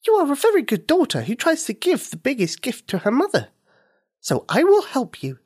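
A woman voicing a kind, helpful firefly in a children's story, with a bright, encouraging manner. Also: sits high, huge pitch swings, normal speed, husky, voice pushed up, heavily acted.

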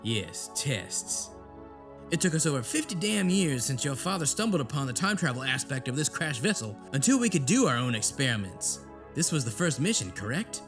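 An actor playing an irascible older senator in an audio drama, hectoring and interrupting. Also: male voice, mid-range voice, very animated, normal speed, music in the background. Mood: scornful. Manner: hectoring, interrupting, folksy cursing. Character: blustering, irascible older Southern senator, bullying and folksy.

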